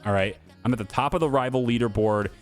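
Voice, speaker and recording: A man with a medium-pitched voice hosts a gaming video. He rambles casually, thinking aloud as he speaks straight to his audience in unscripted commentary. Music plays behind his voice. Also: fast talker. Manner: chatty, rambling, thinking aloud, addressing viewers and developers directly. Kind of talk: casual off-the-cuff game commentary to viewers.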